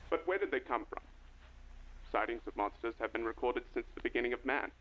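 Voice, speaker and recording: A man's voice: medium pitch, fairly quick, lightly acted. A man playing a grave, earnest documentary guide, narrating formally and portentously from a script in a vintage style. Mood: solemn, formal. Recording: dull lo-fi audio with slight noise.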